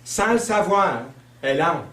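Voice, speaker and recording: A man's voice: high-pitched, lively intonation, crowd noise. A man from Quebec with a Québécois French accent, preaching a sermon in a conversational, storytelling way. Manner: conversational, storytelling, with fillers and slow emphasis. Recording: roomy sound, mic at a distance.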